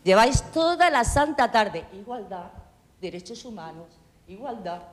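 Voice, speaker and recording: A woman's voice: medium pitch, animated, a little slow, in a large hall. A woman from Catalonia, a town councillor, giving a heated, sarcastic speech full of rhetorical questions in a council debate. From Catalonia, Spain.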